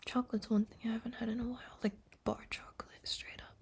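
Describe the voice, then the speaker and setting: A woman live streamer chatting casually and playfully to viewers in a soft, breathy voice that often drops into a whisper. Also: on the high side, level tone, quick pace. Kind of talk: sleepy casual livestream chat to viewers.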